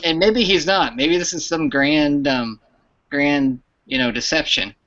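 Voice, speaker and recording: A man's voice: on the high side, measured pace, fairly bright tone. A man hosting a casual live stream chat, rambling with restarts. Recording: lo-fi online stream audio.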